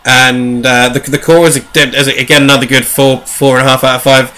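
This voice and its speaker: A man hosting a book review show in casual, animated, rambling chat with a jokey edge. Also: medium pitch, a little fast, low-quality recording.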